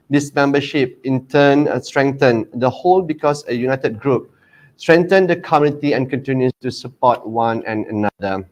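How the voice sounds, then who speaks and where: A man moderates a livestreamed art dialogue and introduces the next panelist in a formal way. His speech is halting and full of fillers. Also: mid-range voice, darkish tone. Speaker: moderator, lecturer.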